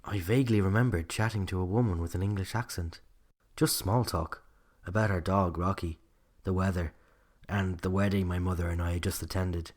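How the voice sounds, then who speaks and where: Very deep-voiced Irish male storyteller. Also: steady tone, a little mellow. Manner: measured, reflective. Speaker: writer and narrator. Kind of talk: scripted true-crime storytelling.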